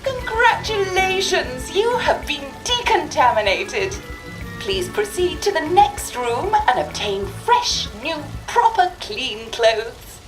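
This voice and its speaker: Casting: a sweetly officious female announcer, high and sing-song, formal instructions over clear music. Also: very animated, takes it slowly, heavily acted, rough recording. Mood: sugary, officious. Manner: sugary, formal, polite. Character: saccharine, officious female announcer at rehabilitation centre.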